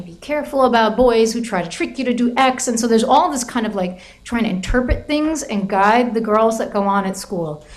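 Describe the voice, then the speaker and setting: A middle-aged woman giving an academic talk about her book, telling classroom stories with lots of hedging and restarts. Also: fairly high pitch. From United States. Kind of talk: academic lecture with anecdotes.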